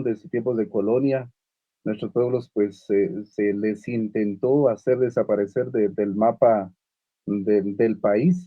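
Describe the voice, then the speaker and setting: A man from Guatemala whose first language is Uspanteko, speaking on a literary panel with many pauses, fillers and restarts. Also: lowish voice, no echo, narrow, tinny audio. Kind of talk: unscripted panel talk.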